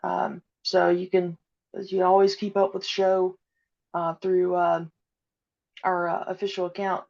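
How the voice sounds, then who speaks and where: A woman's voice: fairly low pitch, completely flat delivery, a little slow, creaky. Hesitant woman on dull, muffled audio. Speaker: podcast guest, audio drama creator. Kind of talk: casual interview chat.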